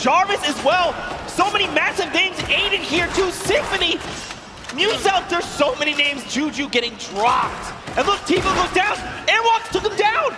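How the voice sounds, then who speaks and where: A young man doing live play-by-play commentary on a headset mic, excitable and rising to shouts, his voice going hoarse. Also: very high-pitched. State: losing his voice. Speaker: esports caster. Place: large arena stage with live audience.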